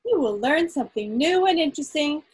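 A woman acting as quizmaster, reading quiz questions aloud to an online group in a deliberate way, pausing between phrases. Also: high-pitched, animated. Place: indoors on a group video call. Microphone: video call microphone.

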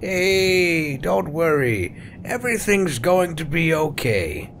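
A man voicing a folksy, wry old male dwarf cleric in a comic fan dub, gravelly and unhurried. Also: on the high side, big pitch swings, slight background noise, heavily acted. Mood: laid-back. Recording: loud music under the voice.

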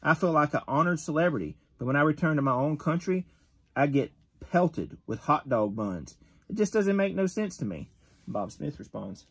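Gruff man reading a wrestler's words. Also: on the high side, animated, normal speed, fairly bright tone. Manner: gruff, tough-guy reading with occasional stumbles. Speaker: father reading a magazine interview aloud. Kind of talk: reading an interview aloud. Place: bedroom with shelves of collectibles. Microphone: phone camera at close range.

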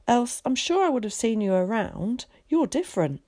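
A woman's voice: fairly high pitch, very animated, dry recording, clean studio sound, voice pushed up. Woman voicing a chatty eager schoolboy. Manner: quick, bright, well-spoken chatter.

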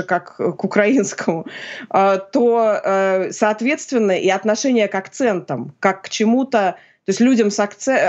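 A woman in her forties explains at an easy pace over a video call from a room at home. Her voice sits at a middling pitch and the audio is a little dull and lo-fi. She pauses often with small hesitation sounds as she thinks aloud. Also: a little mellow. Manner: thoughtful, many filler sounds, explanatory. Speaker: philologist and journalist guest.

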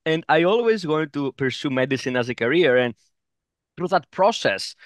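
Middle-aged man, reflective and halting, dry close headset sound. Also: on the high side, expressive, no room sound. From Greece. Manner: thoughtful, many "uh" fillers, repeats words. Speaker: oncologist guest. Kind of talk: interview answers. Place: home room, video call.

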